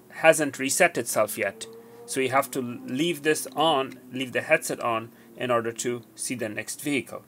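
A man's voice: mid-range voice, fairly bright tone. A man in his fifties giving an unscripted product demonstration, explaining and rephrasing his points as he goes. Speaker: tech tutorial presenter.

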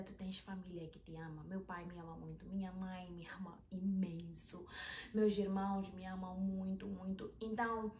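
A woman tells a personal story in a chatty, animated way, filmed in a small bedroom with the camera some distance away. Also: medium pitch. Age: 20s. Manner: chatty, animated, with hand gestures. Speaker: vlogger. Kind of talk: casual storytelling vlog. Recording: roomy, a little distant, faint hiss.

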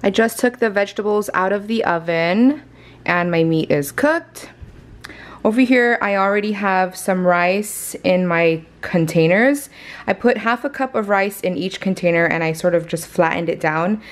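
A woman narrates her meal prep in a chatty, friendly way, explaining each step for viewers. Her voice sits at a middle pitch for a woman. She is recorded on the camera mic in a home kitchen. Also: normal speed. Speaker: cooking vlogger.

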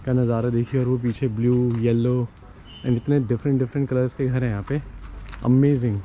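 A man's voice: a little high, darkish tone, a little hiss or hum. A man in his forties walking through old streets, talking enthusiastically to a handheld camera as a travel vlogger. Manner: chatty, enthusiastic tour-guide talk mixing English words. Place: outdoors on quiet old town streets. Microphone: handheld camera at arm's length. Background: faint street ambience and vehicles.

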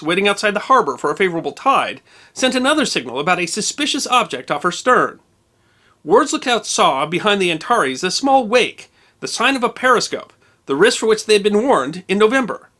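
Man, high lively voice, bright tone, scripted history voice-over. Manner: measured, precise recitation of dates and figures. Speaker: history narrator. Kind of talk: scripted documentary narration.

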